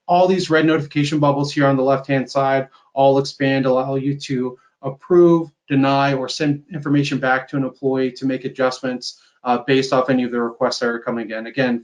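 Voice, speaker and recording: A man's voice: mid-range voice, highs cut off. A man gives an informal webinar product demo over a video call, explaining things conversationally and often checking in with a tag question. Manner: explanatory, conversational, tags statements with "right?". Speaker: software company sales executive presenting a webinar.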